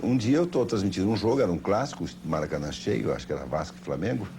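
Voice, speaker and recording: A man's voice: low voice, lively intonation, quick pace. An older Brazilian man telling anecdotes in an interview as a veteran sports broadcaster, in an emphatic storytelling manner. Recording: noisy old TV broadcast tape.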